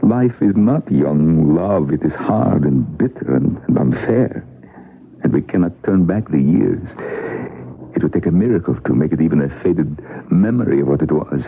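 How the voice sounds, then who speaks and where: An actor playing a bitter, world-weary old man in a radio drama, with a gravelly, husky voice and halting delivery. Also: deep voice, expressive, exaggerated voice. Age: older. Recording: muffled phone-like old radio recording.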